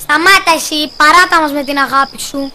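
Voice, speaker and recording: A woman voicing an angry, quarrelsome child in a cartoon, snappy and accusing, with loud noise behind. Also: sits high, lightly acted.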